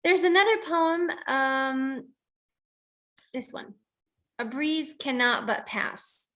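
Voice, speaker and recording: High-voiced reflective American-born woman. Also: slow pace, fairly bright tone. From United States. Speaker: host of a poetry reading and poet. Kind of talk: informal introduction and reading of own poems. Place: online video call.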